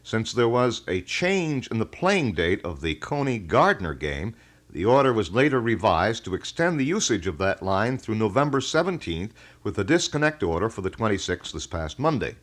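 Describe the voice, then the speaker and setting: A man hosting a local radio talk show, speaking in wordy, measured and detailed explanations. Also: fairly low pitch, big pitch swings. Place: radio studio.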